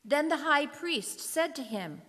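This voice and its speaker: A woman narrating scripture in a deliberate, clearly phrased way, in a reverberant hall. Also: high-pitched, lively intonation, bright, forceful tone. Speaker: narrator in a church readers' theater.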